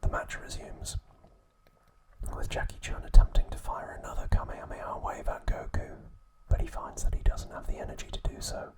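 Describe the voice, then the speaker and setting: A man narrating an ASMR trivia recap in a breathy, hushed whisper, speaking in short, measured phrases. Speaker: ASMR host.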